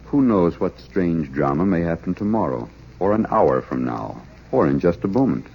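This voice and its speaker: A man's voice: low voice, expressive, normal speed, husky. A man announcing a radio drama series in a theatrical, portentous style of 1940s scripted narration. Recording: dull vintage radio recording, loud organ music. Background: loud organ music.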